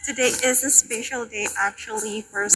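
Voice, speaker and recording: A woman's voice: on the high side, steady tone, moderate pace, sharp tone, a little hiss or hum, poor audio. A woman speaking casual video greetings, altered by a cartoonish voice changer filter. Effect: voice changer filter, cartoonish character presets.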